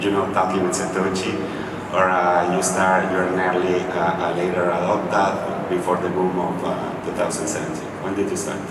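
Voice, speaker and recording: A man with a Mexican Spanish accent hosts a live event interview, his voice low and flat. He speaks haltingly, with plenty of fillers and restarts. The sound comes from far off in a big reverberant hall, with loud ambient noise behind him. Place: large echoey event hall. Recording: echoey hall recorded from afar, loud ambience.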